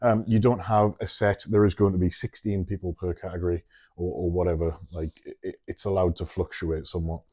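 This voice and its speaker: Low, mellow-voiced male podcast co-host. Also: flat delivery, a little fast, no echo, studio quality. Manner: chatty, asks questions, frequent restarts. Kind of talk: casual chat.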